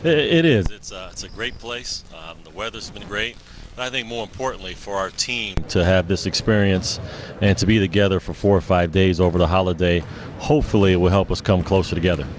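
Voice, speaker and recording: A man's voice: low voice, brisk, background noise. A man, a basketball head coach, giving casual answers in a sports interview.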